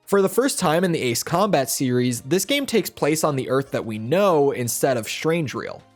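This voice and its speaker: A man reading a scripted video essay voiceover as a game reviewer, slightly hoarse, explaining in a steady, upbeat way. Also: a little high, normal speed, faint music behind. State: slightly hoarse, near losing his voice.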